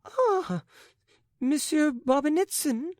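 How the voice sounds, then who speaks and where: A woman's voice: high voice, lively intonation, slow, darkish tone, no echo, clean studio sound. A woman voicing a flighty, chattering society lady with breathless, fluttery speech. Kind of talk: audiobook character voice.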